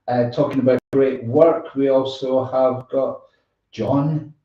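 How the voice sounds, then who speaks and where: A man hosting a casual live online chat show, rambling and halting as he thanks and appreciates people. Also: medium pitch, steady tone. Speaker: show host. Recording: echoey, distant mic, dull sound.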